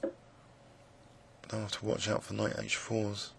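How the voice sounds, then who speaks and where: A man with a croaky, gravelly voice, musing hesitantly with many fillers as he commentates his own blitz chess game. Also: fairly low pitch, monotone, measured pace, noisy background. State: croaky voice, clearing throat. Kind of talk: live game commentary, thinking aloud.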